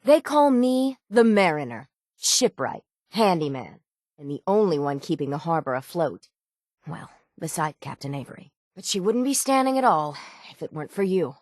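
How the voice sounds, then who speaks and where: A gruff female harbor shipwright from a video game, acted by a woman, speaks in a slightly low voice. She is stubborn and hard-bitten, with a folksy, salty way of putting things. The sound is dry, with no room around it. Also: lively intonation, normal speed, darkish tone, no echo, natural voice. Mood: matter-of-fact. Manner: salty, plainspoken, folksy nautical talk. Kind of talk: video game character dialogue.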